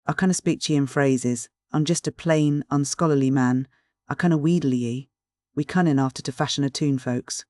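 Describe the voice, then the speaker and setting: A man voicing a rough, earnest shepherd with a husky Northern English dialect, blunt and stubborn. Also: a little high, moderate pace, darkish tone, dry recording, studio quality, natural voice. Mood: pleading. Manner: blunt, pleading, dialect-heavy.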